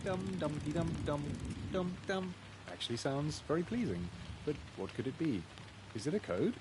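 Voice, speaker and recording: Man voicing a very animated pig detective. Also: on the high side, normal speed. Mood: curious, musing. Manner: musing to himself. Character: inquisitive, principled male pig detective. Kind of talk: acted game dialogue. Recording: very noisy game capture.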